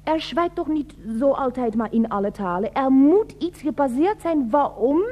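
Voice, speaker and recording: A woman's voice: high voice, lively intonation, normal speed, mellow. A woman playing a gushing, concerned sweetheart in a radio comedy, theatrical, cooing and dramatically sympathetic. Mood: dramatic concern.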